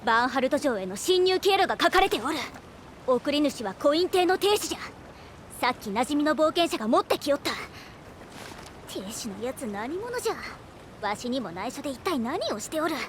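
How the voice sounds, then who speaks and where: A woman's voice: sits high, big pitch swings, a little fast, a little hiss or hum. A woman voicing a bossy little female creature companion in an anime game, imperious, with old-fashioned elderly turns of phrase. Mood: puzzled, brisk. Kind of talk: Japanese anime game voice acting.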